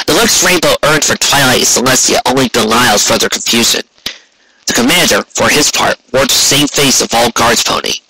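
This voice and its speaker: A man's voice: mid-range voice, pushed and bright, slight background noise, low-quality recording. A man reading scripted fantasy fiction aloud as an audiobook narrator. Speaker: fan fiction audiobook reader.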